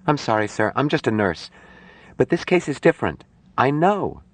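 A distraught young female nurse in an audiobook, played by a male narrator lifting his voice well above his own. She is timid yet insistent, pleading haltingly in a voice that swings widely. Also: very animated, no echo. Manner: pleading, halting, shrill when upset.

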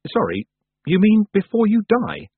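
Storybook girl played by a man: earnest, stubborn, lightly raised animated voice, narrow phone-like sound. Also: high voice, moderate pace, breathy edge, pitched above the performer's own voice, heavily acted. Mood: anxious, protesting. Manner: hesitant, protesting. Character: young witch girl, earnest, anxious, stubborn.

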